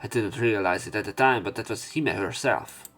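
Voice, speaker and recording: A man narrating a visual novel let's play, reading the text aloud in a measured way with some stumbles. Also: on the low side, fairly bright tone. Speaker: let's player.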